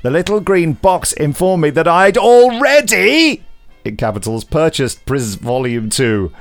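Male podcast host, highly varied intonation, playful and emphatic, reads aloud and banters. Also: big pitch swings, measured pace, faint music behind. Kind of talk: reading listener email aloud with chatty asides.

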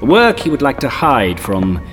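An actor playing a terse, irritable secret agent in an audio drama, brisk and dry. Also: male voice, medium pitch, wildly swooping pitch, a bit bright. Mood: businesslike. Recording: long echoing reverb, music underneath.

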